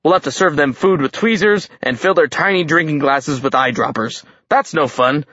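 A man's voice: on the high side, moderate pace, pushed and bright, muffled recording. A man playing a slyly genial giant king. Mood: jovial, commanding. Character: genial but commanding male giant king, slyly menacing host.

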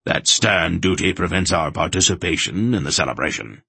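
Man playing a wily old sorcerer, low and husky. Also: little pitch movement, moderate pace, sharp tone, dry recording, full character voice. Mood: smooth, deferential. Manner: formal, deferential. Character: wily old male sorcerer posing as humble pilgrim.

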